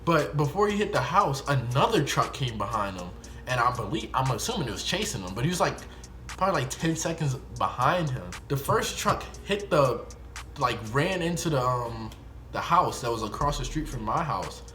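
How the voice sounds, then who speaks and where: A man's voice: mid-range voice, big pitch swings, normal speed, pushed and bright, faint music behind. A young American man telling a story casually to viewers, rambling and slangy, often correcting himself. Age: young adult. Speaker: video storyteller.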